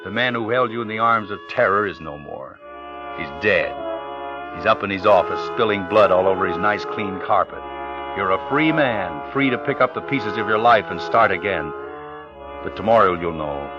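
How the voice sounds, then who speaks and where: An American actor in middle age playing an anxious, coolly polite killer, narrating in clipped, intense phrases in old radio drama style. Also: male voice, lowish voice, animated, moderate pace, close to an everyday voice. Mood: brooding. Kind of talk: 1940s-50s radio suspense acting, narrating. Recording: muffled old radio recording, organ music behind.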